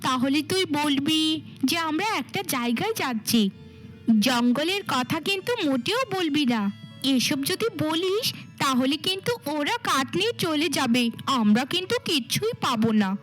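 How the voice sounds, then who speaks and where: A woman's voice: high voice, lively intonation, moderate pace, fairly bright tone, faint music behind, rough recording. A woman voicing a scheming stepmother in a cartoon fairy tale, with a theatrical manner. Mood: sugary, coaxing. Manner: theatrical, coaxing and cajoling. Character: scheming, cruel stepmother feigning sugary motherly love.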